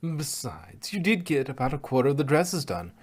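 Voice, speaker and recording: A man's voice: mid-range voice, big pitch swings, moderate pace, breathy edge. A man voicing a refined, patient female unicorn dressmaker with genteel, careful wording in a fan fiction reading. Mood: reassuring.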